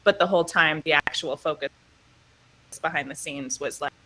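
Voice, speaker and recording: Bright-voiced woman chatting with laughter. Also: deep voice, poor audio. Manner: lively, laughs often, quick asides. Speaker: voice actor and producer. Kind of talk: casual interview chat.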